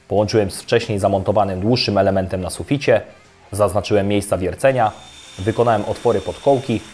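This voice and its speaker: Calm, low-voiced male DIY narrator. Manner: calm, even, step-by-step instructional delivery. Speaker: electrician presenting a how-to video. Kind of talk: scripted voice-over narration. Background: soft guitar music.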